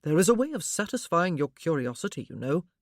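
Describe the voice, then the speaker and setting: A woman voicing a protective blind gentleman who questions in a steady, dry manner. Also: on the low side, a little fast, no room sound. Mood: probing.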